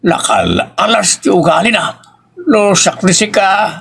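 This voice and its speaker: A man's voice: high-pitched, sing-song, unhurried, gravelly, fairly bright tone, breathy edge, exaggerated voice. A man playing an opinionated older father who goads his married son in a family audio drama. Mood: goading. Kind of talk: Ilocano family radio-style drama acting.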